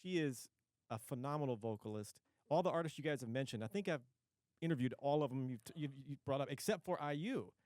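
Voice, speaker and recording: A man hosting a casual radio studio interview, excitable, often stumbling and repeating words as he talks. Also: mid-range voice, quick pace, dry recording. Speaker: radio show host.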